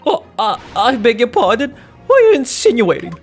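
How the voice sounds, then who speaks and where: Courtroom game housewife, acted by a man: high-pitched, pompous, indignant, quick to anger, hugely animated delivery. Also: faint music behind, heavily acted. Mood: flustered indignation. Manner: flustered, pompous, huffy. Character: haughty, indignant, quick-tempered married woman in a courtroom. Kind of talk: dramatic video game character voicing.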